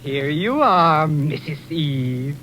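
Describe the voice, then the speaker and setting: A noisy 1930s radio broadcast carries a sly, doubting snake. The voice sits a bit high and draws its words out skeptically. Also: male voice, lively intonation, takes it slowly. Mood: doubtful. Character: sly, doubting male serpent in biblical comedy. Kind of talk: 1930s radio comedy acting.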